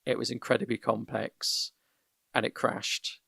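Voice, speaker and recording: Gravelly-voiced man hosting a podcast. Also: medium pitch, flat delivery, sharp tone, no room sound. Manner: measured, many restarts, gives constructive feedback. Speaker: podcast host. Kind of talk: casual conversational critique. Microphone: voip call.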